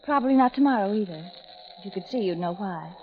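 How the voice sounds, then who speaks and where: A woman's voice: medium pitch, expressive, fast, a little hiss or hum, lightly acted. An actress playing a cruel, scheming woman who mocks her blinded friend, in 1940s radio acting. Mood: sweetly menacing. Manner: mocking, sugary, cruel. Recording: lo-fi old radio recording.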